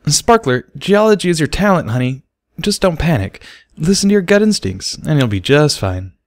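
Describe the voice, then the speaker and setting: A man's voice: on the high side, big pitch swings, normal speed, pitched above the performer's own voice. A man voicing a breezy, reassuring, matter-of-fact pony mother in a storybook reading.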